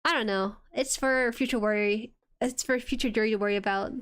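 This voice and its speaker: A woman with a soft voice chatting casually and reacting excitably as she hosts an anime watchalong stream. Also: fairly high pitch, brisk, smooth.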